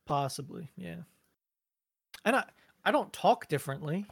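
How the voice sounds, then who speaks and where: A man's voice: a little high, lively intonation, measured pace, gravelly, husky, no room sound, studio quality. A man co-hosting a podcast, chatting casually, rambling and trailing off mid-sentence. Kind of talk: casual chat. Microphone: studio mic.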